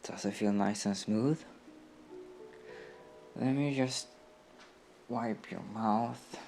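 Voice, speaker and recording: A man speaking in a gentle, coaxing way, as in an intimate comfort roleplay. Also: lowish voice, gravelly, faint music behind. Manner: gentle, coaxing, slow and unhurried. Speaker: roleplay audio performer playing a caring comrade.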